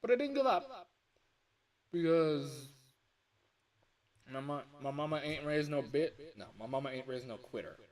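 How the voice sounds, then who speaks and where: A man's voice: a little high, animated, measured pace, long pauses. A man chatting casually on a livestream as an amateur voice actor, rambling, self-deprecating and breaking off mid-thought.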